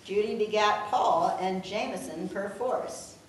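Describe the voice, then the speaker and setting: An older woman reading a rhyming tribute poem aloud with a measured, sing-song delivery. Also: medium pitch. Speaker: family member reading her own poem. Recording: echoey room, distant mic, faint noise.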